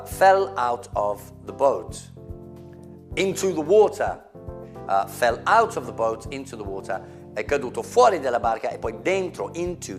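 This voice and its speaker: A man teaches an English lesson with animated energy, acting out the examples. His pitch swings widely as he explains. Faint guitar music sits behind him. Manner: animated, acts out examples, mixes in Italian. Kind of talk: language lesson for Italians.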